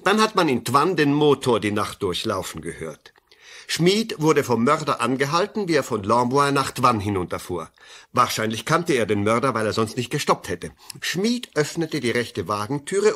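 Eager polite young-deputy type detective in a radio play, pitch jumps around a lot, precise. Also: male voice, a bit bright. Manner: precise, deductive, courteous. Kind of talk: radio drama acting.

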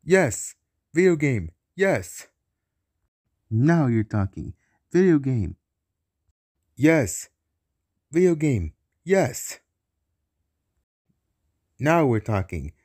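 A man's voice: mid-range voice, mellow. Man acting out a blustering cartoon villain boss. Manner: bossy, blustering, repeats words. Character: big blustering cartoon villain boss, bossy and short-tempered. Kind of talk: cartoon villain character lines.

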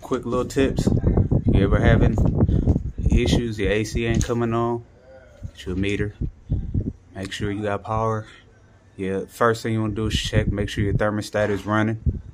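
A man with a low voice talks to his viewers like a handyman walking them through a repair. His speech is informal and full of slang. The handheld phone recording is clearly noisy, with clicks. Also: level tone, normal speed, long pauses. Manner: colloquial slang, informal catchphrases. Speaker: handyman vlogger and HVAC technician. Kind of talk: casual vlog, explaining a home repair to viewers. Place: indoors at home beside air-conditioning equipment. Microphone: handheld phone behind the camera.

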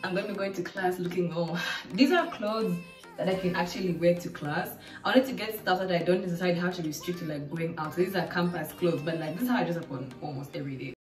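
A nineteen-year-old woman from Zambia, chatting casually and excitedly through a clothing try-on haul in her living room. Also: on the low side, fast talker. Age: late teens. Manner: chatty, bubbly, lots of fillers. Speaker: vlogger. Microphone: camera mic from across the room. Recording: echoey room, distant mic, faint music.

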